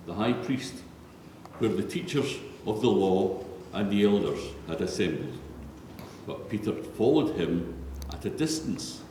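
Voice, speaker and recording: Slow, low-voiced man in an echoey church. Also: dark tone. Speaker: narrator. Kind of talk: solemn scripture reading. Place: large reverberant church. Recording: very echoey church, faint noise.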